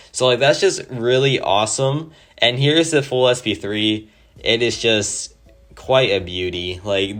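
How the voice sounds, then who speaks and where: Guy with a scratchy sore throat rambling excitedly about his fave game character, lowish voice. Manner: enthusiastic, rambling, many "like" fillers. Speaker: mobile game player. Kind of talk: casual gameplay commentary.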